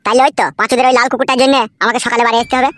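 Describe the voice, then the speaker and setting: A woman voicing a brazen, argumentative young village boy who fibs smoothly in a comedy cartoon. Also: a little high, steady tone, very fast, a bit bright, close to an everyday voice. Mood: brazen, insolent. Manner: insolent, argumentative, matter-of-fact.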